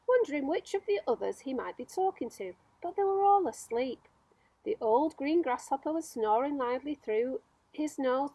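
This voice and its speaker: A woman reading a children's story aloud as a storyteller, with expressive narration. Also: on the high side, gravelly, no room sound, poor audio.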